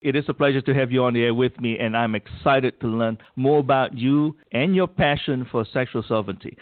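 A man's voice: mid-range voice, dry recording. A man hosting a talk radio show, formally introducing and welcoming his guest. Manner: formal, measured and polite. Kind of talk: scripted guest introduction and interview. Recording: thin phone-line sound, dry.

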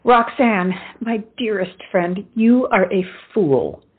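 A blunt, loyal young lady of the Revolutionary War played by a woman, scolding and theatrical. Her voice is husky and very animated, in a lo-fi, narrow-sounding recording of a stage comedy. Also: medium pitch, takes it slowly.

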